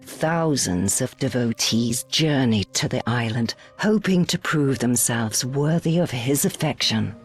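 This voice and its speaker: A woman's voice: very deep voice, expressive, a little slow, few pauses, slightly rough, faint music behind, heavily acted. A woman playing a solemn, reverent storyteller, narrating a legend dramatically in a video game.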